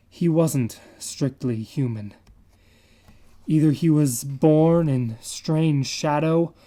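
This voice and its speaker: A man giving a dramatic reading of a horror story in a measured, confiding manner. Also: medium pitch, mellow. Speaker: reader.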